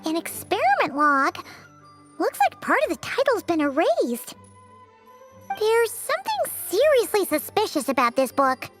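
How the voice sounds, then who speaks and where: A tiny, excitable fairy companion in a video game. The childlike voice is squeaky and extremely high, leaping around with exclamations. Clear playful music runs behind it. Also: female voice, a little slow, full character voice. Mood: curious, suspicious. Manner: exclamatory, expressive, chatty.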